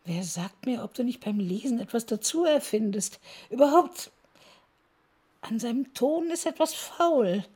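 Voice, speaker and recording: A woman's voice: fairly high pitch, lively intonation, unhurried, darkish tone, slightly rough. An actress playing a bitter, middle-aged mother in a radio drama, curt and reproachful with her daughter. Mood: reproachful, suspicious.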